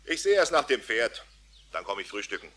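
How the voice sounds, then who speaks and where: A man's voice: medium pitch, big pitch swings, fairly quick, close to an everyday voice. A man playing a kindly, loyal farmer and father in a children's cartoon radio play. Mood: caring. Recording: noisy old cassette, horse sounds behind.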